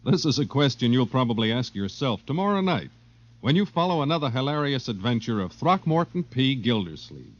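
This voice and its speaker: A muffled old broadcast carries an American man announcing in 1950s radio style. His intonation swings in a lively way as he reads scripted promotional copy at a medium pitch. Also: brisk.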